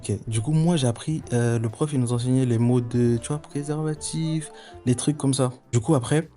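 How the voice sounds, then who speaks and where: Dude calling in w a story, mellow relaxed voice, halting n slangy, faint music under. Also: male voice, medium pitch. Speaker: caller. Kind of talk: casual anecdote storytelling. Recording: music behind the voice. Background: background music.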